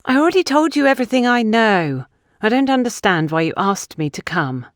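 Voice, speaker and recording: Young woman played by a female narrator, higher-pitched, indignant and guarded, an audio mystery witness under questioning. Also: sing-song, a little fast, no room sound, studio quality. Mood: indignant, defensive. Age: young adult. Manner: accusatory, indignant.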